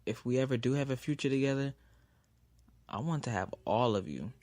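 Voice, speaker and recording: An actor playing a young man in love in an audio drama, speaking earnestly and in a measured way. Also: mid-range voice, lively intonation, a little mellow, no echo, natural voice. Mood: serious.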